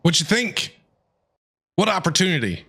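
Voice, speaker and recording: Streamer type: man with high, forceful voice, casual and excitable commentary over soft game music. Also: normal speed, husky. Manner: enthusiastic, talks back to game characters.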